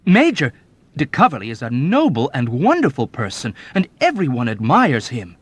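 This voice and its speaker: A man voicing an earnest young soldier who protests loudly and stammers in indignation in an audiobook. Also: medium pitch, huge pitch swings, moderate pace, husky, no echo, pitched above the performer's own voice. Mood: indignant. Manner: loud, outraged, stammering protests.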